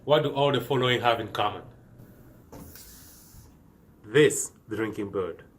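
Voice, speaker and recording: A man's voice: lowish voice, a little slow. Earnest young Cameroonian man presenting. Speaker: student presenter. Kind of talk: scripted science presentation.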